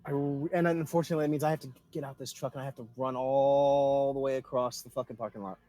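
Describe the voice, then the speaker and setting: A man with a husky voice casually running an online tabletop game as game master, given to swearing. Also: mid-range voice, fairly even intonation, quick pace, dark tone.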